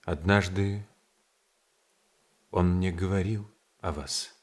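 An actor playing a polite, hesitant circus man in a radio play, deferential and uneasy, with a husky voice. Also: low-pitched, slow pace, full character voice. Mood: cautious. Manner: hesitant, apologetic, courteous. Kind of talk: theatrical radio play acting.

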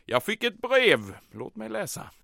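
A man's voice: high-pitched, big pitch swings, gravelly, sharp tone, no echo, studio quality, exaggerated voice. A man playing a pompous, easily offended German military commandant with a German accent in an old-time radio comedy drama. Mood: aggrieved. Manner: declamatory, peppering speech with German phrases.

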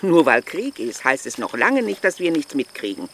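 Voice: medium pitch, very animated, moderate pace, fairly bright tone. A stern village aunt in a radio drama. She admonishes reproachfully, with strongly varied intonation. A faint bed of outdoor noise sits underneath. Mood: stern, scolding. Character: stern, scolding village aunt warning a girl.

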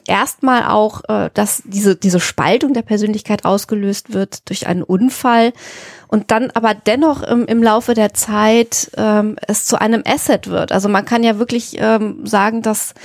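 A woman's voice: medium pitch, fairly even intonation, measured pace, gravelly, dry recording, studio quality. A woman co-hosting a podcast, explaining things conversationally and hesitantly, thinking aloud in long winding sentences. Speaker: podcast co-host.

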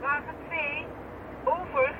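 A man's voice: very high-pitched, fairly even intonation, takes it slowly, phone-quality sound. An actor playing a businesslike male police officer over two-way radio in a radio drama. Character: businesslike police officer in second patrol car. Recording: crackly, noisy, distant two-way radio.

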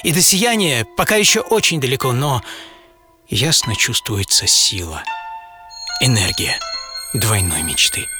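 A man's voice: medium pitch, lively intonation, measured pace, long pauses. A man telling a dramatized first-person story as a radio presenter, theatrically, savouring short phrases. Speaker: writer and presenter of the programme. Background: loud music bed.

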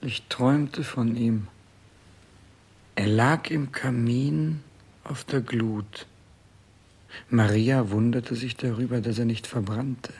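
An actor in a 1960s German radio play playing a dying king who grieves, husky and gravelly, halting and mournful. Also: mid-range voice, steady tone, a little mellow. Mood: tender, haunted. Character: dying old king grieving for his lost cat.

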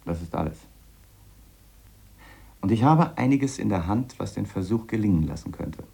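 Low, creaky-voiced musing scientist. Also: male voice, expressive, warm tone. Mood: frank, searching. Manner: reflective, halting, explanatory. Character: obsessed eccentric male scientist, musing, earnest, self-doubting. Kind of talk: radio drama monologue in letter form.

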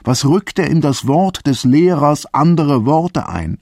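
A troubled male disciple of the early church in a radio drama. He declaims slowly in archaic language, solemn and deliberate, with bitterness and indignation toward those who have cast him out. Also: on the high side, lively intonation, a little mellow, dry recording, clean studio sound. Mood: indignant. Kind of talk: literary radio drama monologue.

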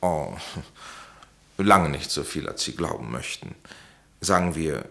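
An actor playing a blunt, dryly ironic, authoritative psychiatrist in a German radio play, with a deliberate, lecturing delivery. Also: male voice, rumbling low, lively intonation, creaky, fairly bright tone, full character voice. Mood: incisive, lecturing.

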